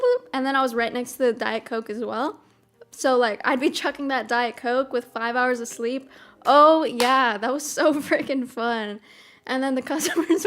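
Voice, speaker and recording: Young woman w a mild midwest accent rambling bubbly, kinda high voice, soft piano going behind her. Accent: mild Midwestern American. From American South. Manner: bubbly, rambling, lots of "freaking" and "oh my God". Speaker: streamer. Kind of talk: casual chat. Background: soft piano music.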